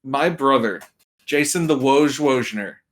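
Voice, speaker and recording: A man chatting casually as a podcast guest, animated and jokey, rambling a little and acting out imagined quotes. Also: medium pitch, a little slow. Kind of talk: casual chat.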